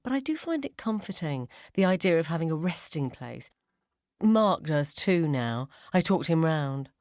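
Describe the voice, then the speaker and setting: A woman's voice: deep voice, big pitch swings, normal speed, a little mellow, no echo, clean studio sound. A woman voicing a self-important, tedious mother who explains things in an earnest, patronising way in a radio story. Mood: earnest, self-satisfied. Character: self-important, tedious mother lecturing fellow mums over dinner.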